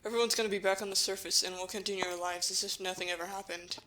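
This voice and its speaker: A woman's voice: mid-range voice, steady tone, very fast, sharp tone, lightly acted. A woman playing an earnest, determined young human hero who protects friends, in an audio drama. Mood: calm, hopeful. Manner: earnest, firm.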